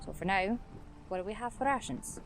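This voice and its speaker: A woman playing a measured, dignified ranger leader who speaks deliberately and formally in a fantasy audio drama. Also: medium pitch, background noise, close to an everyday voice. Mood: thoughtful, practical.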